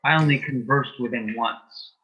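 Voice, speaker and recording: A man answering as an interview guest in a conversational way, with brief, deliberate replies. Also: medium pitch, steady tone, slightly rough. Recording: dull, lo-fi sound.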